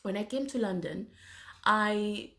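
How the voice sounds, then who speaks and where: Woman with halting speech and coughs. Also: medium pitch, fairly bright tone. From Zanzibar. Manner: halting, many fillers and restarts, frequent throat clearing. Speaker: speaker sharing her faith story. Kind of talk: personal testimony.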